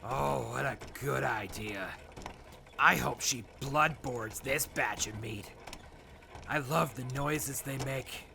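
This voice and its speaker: A man's voice: mid-range voice, slow pace, gravelly, pushed and bright, slight background noise, exaggerated voice. A man playing a sadistic wasteland raider in an audio drama, gleeful and creepy, lingering over his words about gore. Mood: gleeful menace. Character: sadistic male wasteland raider who savors torture and gore. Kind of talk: post-apocalyptic audio drama acting.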